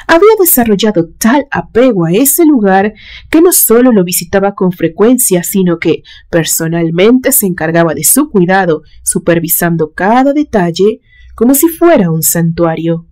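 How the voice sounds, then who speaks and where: Mellow-voiced woman narrating a suspense story. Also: medium pitch, lively intonation, moderate pace. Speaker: narrator reading a horror story.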